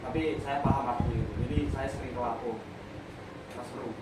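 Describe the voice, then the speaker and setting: A man's voice: medium pitch, steady tone, a little fast. A young man, a university student from North Sumatra, chatting casually as a podcast co-host and rambling with many fillers. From Batak, North Sumatra. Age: young adult. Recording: echoey room, far from the mic, loud background noise.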